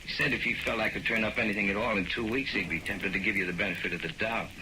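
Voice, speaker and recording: An old, noisy 1940s radio drama recording. A male actor plays a weary male lawyer with a lowish voice, blunt and measured in his delivery. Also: fairly even intonation, lightly acted. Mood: resigned.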